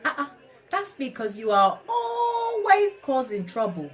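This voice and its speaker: A woman's voice: sits high, wildly swooping pitch, measured pace, full character voice. A woman voicing a cautious, worried female squirrel in a children's storybook, in a fretful sing-song. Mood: scolding.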